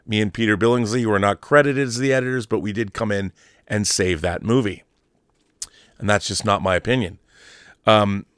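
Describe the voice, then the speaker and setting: Low-voiced American man, emphatic. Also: fairly bright tone, no room sound, clean studio sound. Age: 50s. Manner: emphatic, nostalgic, repeats phrases for stress. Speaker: online show host. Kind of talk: opinionated casual monologue on pop culture.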